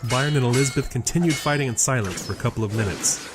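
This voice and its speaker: A man's voice: mid-range voice, quick pace, heavy background noise. A man reading scripted narration for an audio drama. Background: loud music.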